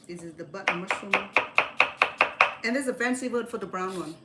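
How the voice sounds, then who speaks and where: Woman from Cambodia, casual cooking chat, rambling delivery, strong room echo, clearly noisy background with kitchen clatter. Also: mid-range voice, brisk. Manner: rambling, self-correcting. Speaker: home cook hosting a livestream.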